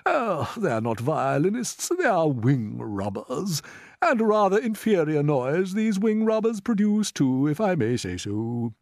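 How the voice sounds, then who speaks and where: A man's voice: on the high side, sing-song, a little slow, a little mellow, dry recording, clean studio sound, pitched above the performer's own voice. A man voicing a genial, proud old green grasshopper musician from a storybook, avuncular and emphatic, drawing out his words. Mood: proud, explaining. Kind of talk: storybook character voice.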